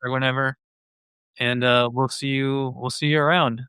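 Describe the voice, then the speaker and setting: A man's voice: medium pitch, little pitch movement, moderate pace, sharp tone, clean tone, dry recording. A man hosting a podcast in a casual, chatty style. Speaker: podcast host.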